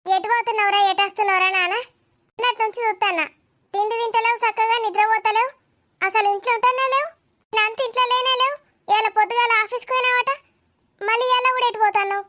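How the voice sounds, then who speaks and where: A woman's voice: very high voice, fairly even intonation, fast, pushed and bright. A woman voicing a fussing, affectionate village aunt in a cartoon comedy, chattering and inquisitive, with a Telangana accent. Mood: fussing concern.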